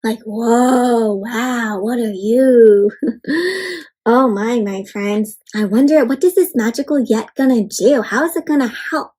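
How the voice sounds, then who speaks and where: A woman's voice: on the high side, slow pace, dark tone, slight background noise, poor audio. A woman hosting an interactive picture-book read-aloud, speaking expressively to children with exaggerated gasps and frequent questions.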